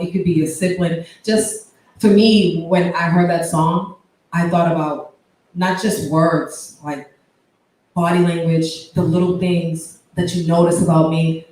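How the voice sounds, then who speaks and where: An interview host, effusive and laughing, with quick asides and shifting volume. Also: mid-range voice, darkish tone. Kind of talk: casual interview. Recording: echoey room, distant mic, faint noise.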